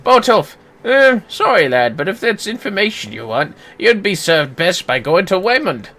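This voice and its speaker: A streamer voicing a blunt, grumbling townsman in a video game, colloquial and rough-mouthed. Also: mid-range voice, big pitch swings, normal speed, sharp tone. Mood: matter-of-fact.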